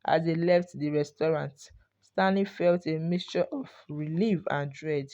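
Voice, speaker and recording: Mellow, lower-pitched female storyteller. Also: a little slow, muffled recording. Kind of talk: scripted folktale narration.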